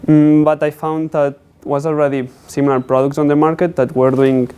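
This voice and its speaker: Young guy presenting his tech project, clear smooth voice, kinda hesitant. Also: on the high side, little pitch movement, moderate pace, narrow, tinny audio. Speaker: student presenter. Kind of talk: technical capstone presentation.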